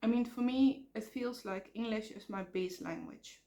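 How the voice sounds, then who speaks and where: A woman's voice: on the high side, moderate pace, dark tone. A woman from the Netherlands with a Dutch accent, talking in an earnest, rambling way with fillers and self-corrections in a personal vlog. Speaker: vlogger. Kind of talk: casual personal vlog talk.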